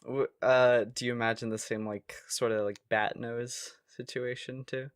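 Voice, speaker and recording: A man's voice: medium pitch, level tone, a little slow, no room sound, studio quality. A man co-hosting a podcast in an informal, playful chat, prompting with questions. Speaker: podcast co-host.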